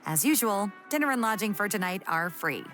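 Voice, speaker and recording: A woman's voice: mid-range voice, very animated, a little fast, fairly bright tone, faint music behind. A woman playing a friendly, businesslike female tournament receptionist in a video game, speaking politely.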